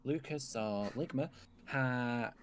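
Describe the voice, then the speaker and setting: A man playing an evasive, dry-humoured survivor in a zombie game roleplay, speaking in a halting, understated way. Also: mid-range voice, smooth, natural voice. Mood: explanatory. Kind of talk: improvised roleplay dialogue.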